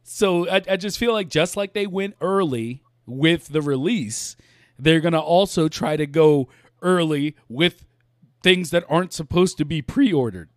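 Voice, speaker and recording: Higher-pitched laid-back male stream host. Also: unhurried, no room sound, clean studio sound. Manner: laid-back, laughing, repeats words often. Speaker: podcast host. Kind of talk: casual live-stream chat.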